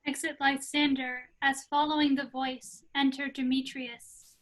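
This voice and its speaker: A woman's voice: high-pitched, little pitch movement, a little slow, a bit bright, poor audio. A woman reading stage directions as scripted narration over a video call.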